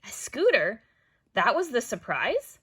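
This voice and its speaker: A woman voicing a young granddaughter in a children's storybook, expressive and questioning. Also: fairly high pitch, unhurried, husky, full character voice. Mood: glum. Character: doubtful young granddaughter who questions and complains.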